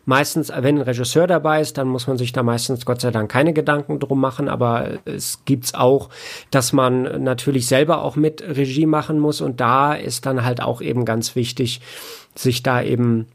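Man explaining his craft in halting, casual talk. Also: mid-range voice, steady tone, creaky. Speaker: podcast guest, audiobook editor and audio drama producer.

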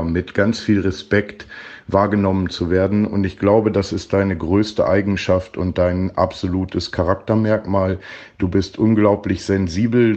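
A man speaking slowly and gently in a heartfelt voice message, pausing to find his words. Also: deep voice. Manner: slow, gentle, searching for words. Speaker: brother sending a voice message. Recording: dull phone voice memo.

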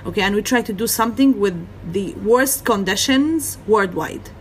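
A woman's voice: mid-range voice, moderate pace, a little hiss or hum. A young woman from Gaza, speaking in earnest over a video call with repeated phrases and "you know". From Gaza, Palestine. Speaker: interviewee. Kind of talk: earnest personal testimony.

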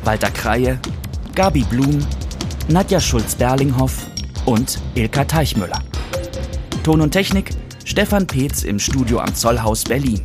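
Man, mid-pitched, scripted announcer narration, podcast outro, prominent music underneath. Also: animated, a little slow.

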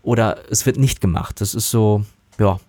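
A man who works as a dubbing actor, talking casually and thoughtfully about his craft with many pauses and restarts. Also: a little mellow, no echo. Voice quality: relatively high voice. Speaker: voice actor guest. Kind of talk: casual interview talk.

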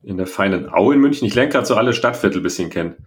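A man's voice: on the low side, expressive, rapid-fire. A man co-hosting a podcast in casual banter, with a playful, chatty manner. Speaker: podcast co-host.

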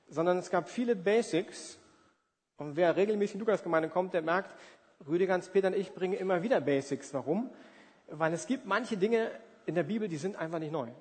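A man in his forties preaching in a conversational, emphatic way, with everyday examples and rhetorical questions. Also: high voice. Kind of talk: sermon with everyday examples and acted-out asides. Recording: reverberant hall.

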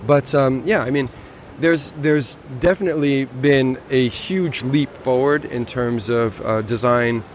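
A man's voice: mid-range voice, unhurried, few pauses, slight background noise. A man casually talking about cars on a motor show floor, rambling a little with restarts. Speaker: car show reviewer. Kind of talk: casual walkaround chat. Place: busy motor show hall.

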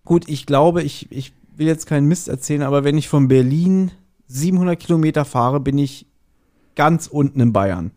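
A man's voice: a little high, moderate pace, a little mellow. A man co-hosting a podcast, recapping a story in casual, chatty conversation. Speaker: podcast co-host. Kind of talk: casual chat recapping an audio drama episode.

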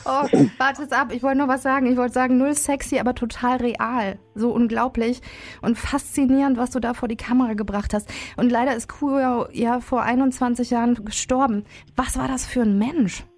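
A woman's voice: a little high, fairly even intonation, moderate pace. Enthusiastic female radio co-host. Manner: enthusiastic, gushing. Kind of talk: radio chat and guest introduction.

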